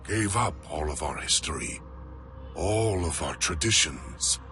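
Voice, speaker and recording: An actor playing a weary alien warrior elder in a video game, speaking gravely and with weight. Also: male voice, very deep voice, lively intonation, a little slow, over music. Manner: grave and brooding.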